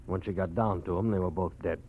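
A cold, cynical schemer in an old radio drama, smooth and calm with a lowish voice. The recording is dull and lo-fi. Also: male voice, a little fast. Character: cold, calculating man with gangster muscle. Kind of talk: 1940s radio acting.

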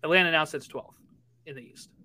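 A man co-hosting a casual sports podcast, rattling quickly through a list of match results. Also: on the high side, bright, forceful tone. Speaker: podcast co-host.

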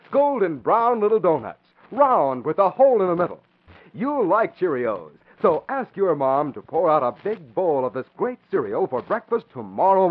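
Animated, high-pitched man, vintage radio announcer. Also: breathy edge. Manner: enthusiastic, emphatic. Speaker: announcer and narrator. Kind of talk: 1940s radio announcing, scripted narration and ad. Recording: tinny, lo-fi old radio recording.

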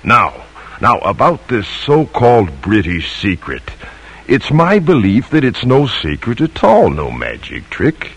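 An older man playing a spirited, determined colonial American father in a 1950s radio drama, declaiming emphatically in a husky voice on an old broadcast recording. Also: lowish voice, huge pitch swings, a little slow, a bit bright, full character voice. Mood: eager, explaining.

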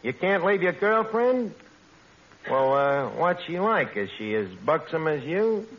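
A man's voice: fairly high pitch, very animated, measured pace. An actor playing a brash, vain, skirt-chasing working-class man with a New York accent and slangy, mangled speech in a 1940s radio comedy. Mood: smooth-talking. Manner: slangy, malaprop-prone, cocksure. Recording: muffled, hissy old radio broadcast.

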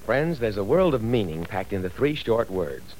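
Man, vintage radio ad announcer, earnest, persuasive, lowish voice. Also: very animated, normal speed, darkish tone, slight background noise, rough recording.

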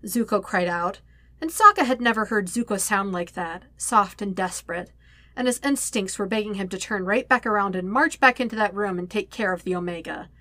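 A woman narrating fan fiction as a scripted story, with expressive, dramatic emphasis on key words. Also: mid-range voice, fairly quick, dry recording. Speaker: podfic narrator, reading own fan fiction.

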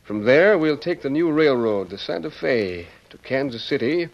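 An actor playing a dignified older US President in a vintage radio drama, speaking in a measured, formal, authoritative way. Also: male voice, mid-range voice, animated, vocal fry. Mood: stately, deliberate. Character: older male US President, firm, dignified, brooking no argument. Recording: dull old radio recording.

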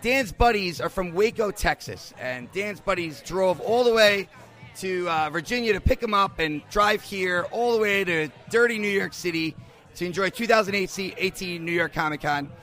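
A man's voice: high-pitched, animated, normal speed, pushed and bright, faint music behind. A man working as a podcast correspondent, doing an enthusiastic on-location interview at a bar on a handheld recorder. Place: bar in New York.

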